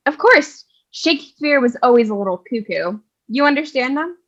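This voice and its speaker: A woman's voice: high-pitched, animated, moderate pace, fairly bright tone, lo-fi sound, heavily acted. A woman playing an eccentric, self-assured cleaning lady who tells tales theatrically and emphatically in a staged comedy reading. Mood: breezy. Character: chatty, opinionated cleaning lady Sister Lawrence, eccentric storyteller.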